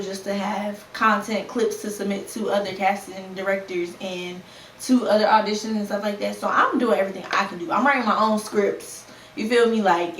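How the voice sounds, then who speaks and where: A woman's voice: mid-range voice, far from the mic. A young woman in her twenties hosts a casual podcast, speaking frankly and conversationally with plenty of slang. She sits a little away from the mic in a roomy space, with faint ambient noise underneath. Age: 20s. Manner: candid and conversational, with lively put-on quotes and slang. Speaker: podcast host. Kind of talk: casual solo podcast talk.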